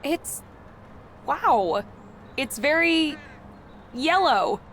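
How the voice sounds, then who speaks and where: A woman's voice: high voice, animated, extremely slow, fairly bright tone, a little hiss or hum, exaggerated voice. An actress playing a polite, inquisitive female newspaper reporter in a video game, speaking in a probing way. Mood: hesitant, underwhelmed. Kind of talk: video game character dialogue.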